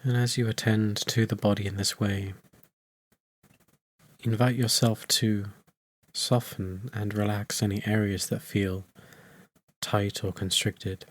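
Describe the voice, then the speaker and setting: Male meditation teacher, lowish voice, soothing delivery, short phrases spaced by generous silences. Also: level tone, no echo. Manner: soothing, unhurried phrases with long pauses. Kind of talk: guided meditation.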